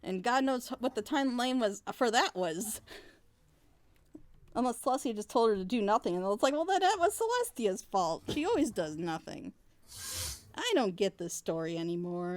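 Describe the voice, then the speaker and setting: A woman's voice: mid-range voice, no room sound. A woman giving a casual, opinionated episode critique in a blunt, exasperated and sarcastic way. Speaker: reviewer in a group reaction show.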